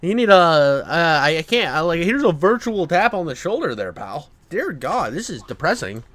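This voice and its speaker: A man's voice: fairly high pitch, big pitch swings, moderate pace, fairly bright tone, no echo. A man giving casual, unscripted reaction commentary in a blunt, slangy way. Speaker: reaction video host.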